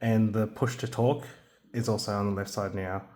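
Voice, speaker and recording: A man with a somewhat low voice talks through a small electronics project. His delivery is loose and unhurried. He stops often to hedge and restart, as if explaining it to a friend at the workbench. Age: adult, has a young son. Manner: relaxed, hesitant, many ums and restarts. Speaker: maker showing a radio kit. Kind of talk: casual hands-on product demo.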